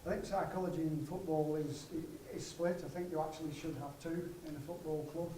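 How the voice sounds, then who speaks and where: Higher-voiced man, echoey and distant. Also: steady tone, fairly quick, warm tone. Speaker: guest speaker, sports psychologist. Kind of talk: explanatory answer at a live Q&A. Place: room at a conference, away from the mic. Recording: distant, echoey room, noisy background.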